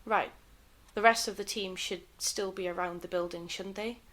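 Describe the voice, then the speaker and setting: A composed 1930s television broadcaster, acted by a woman in a fan audio drama. Her voice is mid-pitched. She speaks gently and reflectively, with a wistful edge. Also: fairly even intonation, moderate pace, natural voice. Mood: resolute.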